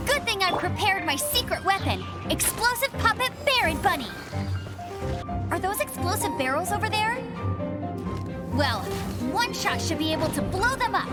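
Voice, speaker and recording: A woman playing a perky, eager young female scout knight in a video game, with an earnest, chatty, emphatic delivery. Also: very high-pitched. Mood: urgent, excited. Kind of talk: animated video game voice acting.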